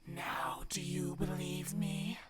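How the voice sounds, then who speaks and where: A woman voicing a menacing, gloating demon monster in a horror ASMR roleplay, taunting in a slow whisper with a demonic effect. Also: over loud music. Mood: gloating, predatory. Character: menacing female demon monster in true form, gloating predator.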